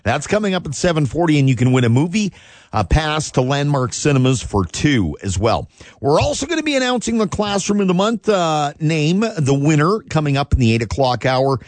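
A man's voice: a little high, big pitch swings, fairly quick, no echo. A man hosting a morning radio show, chatty and upbeat, his voice a little scratchy today. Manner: upbeat, chatty, rambling with fillers. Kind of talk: casual radio chat. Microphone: studio microphone.

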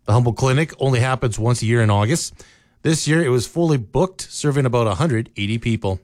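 A man reading scripted local news in a steady newsreader manner, from a radio studio. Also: medium pitch, fairly quick, dry recording. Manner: steady, even newsreader delivery. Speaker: radio newscaster.

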